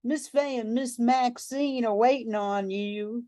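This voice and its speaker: A woman's voice: on the high side, slow pace, mellow. A woman playing a feisty, bossy, kind-hearted grandmother in a radio drama, with a folksy, scolding, coaxing way of talking. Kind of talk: amateur radio drama acting.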